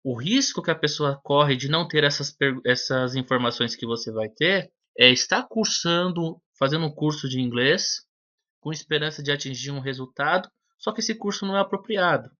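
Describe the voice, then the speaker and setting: Male teacher, bright and fairly high voice, methodical lesson delivery, muffled lo-fi sound. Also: normal speed. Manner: methodical, didactic, addresses the viewer directly. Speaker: English teacher. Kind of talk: explanatory lesson to camera. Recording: dull lo-fi video audio.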